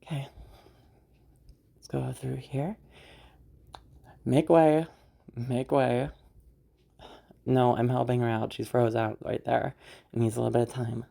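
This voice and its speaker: A young woman acting in an audio roleplay as a caring, teasing party host, talking in a rambling, hesitant, softly reassuring way. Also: a bass voice, level tone, slow, vocal fry, pauses often, heavily acted. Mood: brusque, protective. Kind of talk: audio roleplay, naturalistic one-sided dialogue.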